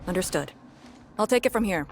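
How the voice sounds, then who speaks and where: An actress playing a calm, commanding military task leader in a video game, giving clipped orders with authority. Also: female voice, on the low side, fairly quick, creaky, background noise. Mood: alert, composed. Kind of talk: scripted video game voice acting.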